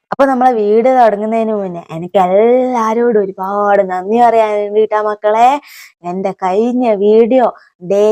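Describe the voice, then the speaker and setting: A woman's voice: on the high side, darkish tone, no echo, lightly acted. A woman playing a homely middle-aged village auntie in a comic mock vlog, with a Kannur, north Kerala accent and a folksy, rambling way of addressing her viewers fondly. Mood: proud, grateful. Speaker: comic vlogger character.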